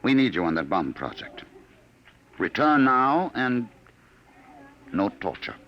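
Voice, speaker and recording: An actor in a 1950 radio drama playing a cold, patient, menacing male agent from the future who talks smoothly and quietly threatens. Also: mid-range voice, very animated, slow pace, lo-fi sound, slight background noise. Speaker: Sims, time-travel enforcer.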